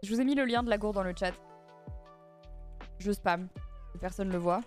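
A young woman from France chats easily with her stream audience and picks up their messages as she goes. Her voice sits at a middle pitch, close on a studio mic in a small dim room. Quiet music hums underneath. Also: normal speed. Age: young adult. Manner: chatty, reading and answering chat messages. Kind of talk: casual chat with live viewers. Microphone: studio mic on boom arm, headset on.

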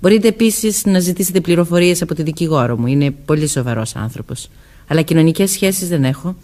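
A woman's voice: on the low side, mellow, lightly acted. Woman playing a guarded, curt young lady. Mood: defensive. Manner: curt, defensive answers. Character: guarded, evasive young woman of twenty-four, seeming older. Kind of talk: Greek radio theatre acting.

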